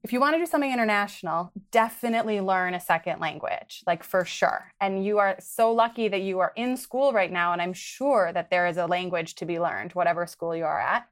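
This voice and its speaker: A woman's voice: fairly low pitch, a little fast, few pauses. A young adult woman from Wisconsin, a podcast guest speaking in an earnest, conversational way and giving advice. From Wisconsin, United States. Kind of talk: conversational interview.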